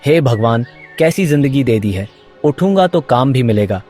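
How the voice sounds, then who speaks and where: A man's voice: medium pitch, animated, normal speed, darkish tone, faint music behind, close to an everyday voice. Man acting sulky, lazy young village son. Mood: grumbling. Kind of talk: cartoon moral story voice acting.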